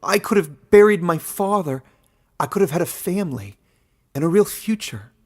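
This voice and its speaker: A man's voice: sits high, animated, normal speed, pitched above the performer's own voice. A man voicing an earnest, bewildered young villager in exile, protesting, in a fantasy story. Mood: stammering, bitter. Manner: stammering at times, protesting.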